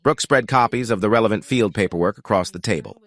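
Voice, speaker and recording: A man giving scripted first-person story narration in an even, reflective way. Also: fairly low pitch, fast talker.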